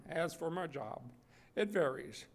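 A man's voice: fairly high pitch, expressive, takes it slowly. An older man reading wartime letters aloud at a podium microphone in an indoor gallery. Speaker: readers theater reader.

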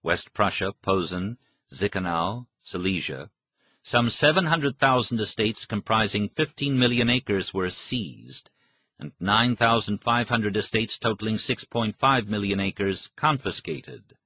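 A man narrates a history audiobook in a low, husky voice. His delivery is measured and formal, following a written script. The sound is close and very dry, with no room around the voice. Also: sharp tone, no room sound.

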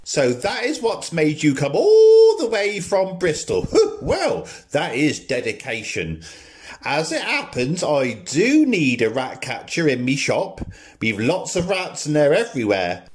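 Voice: medium pitch, huge pitch swings, a little slow. An actor playing a bawdy middle-aged shopkeeper mother in a radio pantomime, brassy and theatrical. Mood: brisk, hearty.

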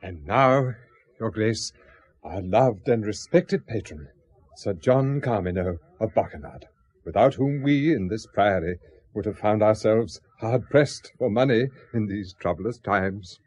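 An actor playing a formal, deferential medieval prior in a radio drama, with a husky voice. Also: male voice, on the low side, expressive, unhurried, a little mellow, no room sound. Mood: obsequious, formal. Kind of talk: 1970s radio drama acting.

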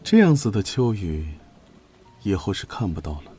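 A man acting a sly, sardonic imperial court official in a Chinese period audio drama, measured and insinuatingly polite. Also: lowish voice, very animated, dark tone, faint music behind. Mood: cool, composed.

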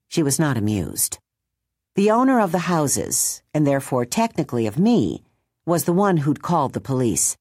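A woman narrating a children's novel aloud in a gentle, wry storytelling manner. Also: deep voice, breathy edge, no echo, studio quality. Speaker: audiobook narrator. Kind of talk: scripted narration.

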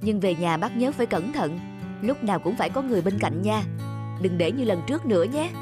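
A woman playing a conscientious, reassuring hospital doctor who explains and advises, in an animated moral story with music behind. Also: medium pitch, lightly acted. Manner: explanatory, measured advice-giving.

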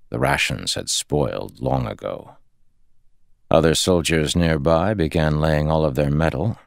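A man with an extremely deep voice narrates a fantasy audiobook. His tone has a gravelly creak, and the recording is dry and close. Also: no room sound. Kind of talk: scripted fantasy fiction narration.

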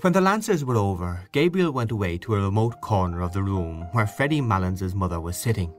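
Man reading a story aloud, lowish voice, measured and expressive, soft music underneath.

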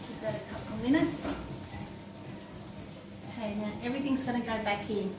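A middle-aged woman talks through a recipe casually, step by step, as she works. Her voice sits on the high side. She is a little way from the microphone in a roomy kitchen, with pans clattering and food sizzling clearly behind her. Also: normal speed. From Australia. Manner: plain step-by-step commentary while busy at the stove. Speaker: home cook presenting a recipe. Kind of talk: casual cooking demonstration. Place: home kitchen, indoors. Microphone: camera mic a few steps away. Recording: roomy, distant, noisy kitchen camera sound.

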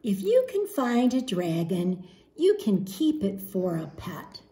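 A woman voicing a firm, skeptical mother from a storybook, with emphatic, measured delivery. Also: mid-range voice, very animated, warm tone. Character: boy's mother, firm and skeptical about pets. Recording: roomy home echo.

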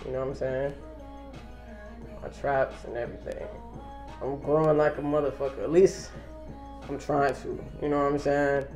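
A man's voice: medium pitch, level tone, moderate pace, pauses often, darkish tone, soft background music. A young man talking casually to a camera held at arm's length in a small wood-paneled room, using loose slang and swearing freely. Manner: laid-back slangy talk, swearing. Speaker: vlogger. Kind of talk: casual vlog update to camera.

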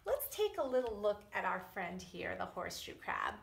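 A woman's voice: mid-range voice, sing-song, creaky, a little hiss or hum. Echoey middle-aged woman teaching young children. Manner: deliberate, teacherly, addressing young children with emphasis and questions. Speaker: preschool teacher. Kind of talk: children's science lesson and read-aloud story. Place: indoors in a wood-panelled room at home or school. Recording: echoey room.

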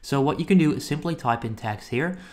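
Male tutorial host, informal and chatty, walking viewers through software in a medium-pitched voice. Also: lively intonation, a little fast. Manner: informal, addresses viewers as guys. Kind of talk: casual screen-recording walkthrough.

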